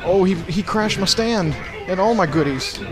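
A man's voice: high voice, animated, normal speed. A man giving joking, crude gameplay commentary as the host of a let's play. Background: video game music.

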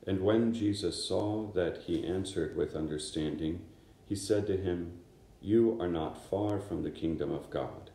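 A man in his fifties, a priest, reading the Gospel and giving a conversational, unhurried homily in a small chapel. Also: deep voice, dark tone, reverberant, rough recording. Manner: conversational, unhurried preaching with gestures. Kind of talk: homily at daily Mass. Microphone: camera in front of him.

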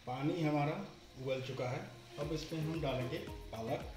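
A man's voice: a little high, fairly even intonation, moderate pace. Man explaining a recipe step by step. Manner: instructive, explains each step plainly. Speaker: cooking channel host. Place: home kitchen, indoors. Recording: echoey kitchen with music underneath.

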